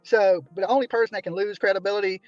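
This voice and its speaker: Guy with a high voice going off on a livestream, indignant, kinda dull lo-fi sound. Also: fairly even intonation, very fast, no echo, faint music behind. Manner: indignant, ranting, repeats "right?". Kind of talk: heated livestream commentary.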